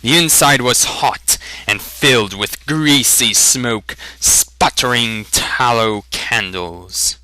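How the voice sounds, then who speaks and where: A man's voice: mid-range voice, slow pace, few pauses, sharp tone, no echo, rough recording. A man narrating a fantasy novel as a dramatic reading. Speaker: audiobook narrator.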